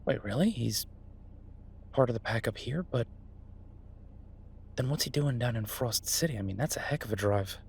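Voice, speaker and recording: A man's voice: mid-range voice, moderate pace, gravelly, long pauses, slight background noise, lightly acted. A man acting as an easygoing chef talking to a partner in a naturalistic audio roleplay, conversational, hesitant and wry. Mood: wry, amused. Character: Beau, easygoing male chef, reassuring his werewolf partner. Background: ambient sound effects.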